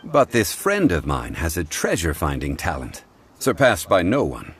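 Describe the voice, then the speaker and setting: An actor playing a calm, learned ancient man in a game, speaking in a measured, formal way. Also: deep voice, slight background noise, full character voice. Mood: composed. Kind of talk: game voice acting.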